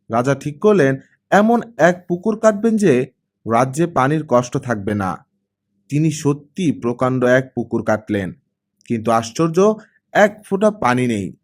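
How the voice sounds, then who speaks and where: A man voicing a friendly, easygoing male teacher who likes telling stories in an audiobook. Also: medium pitch.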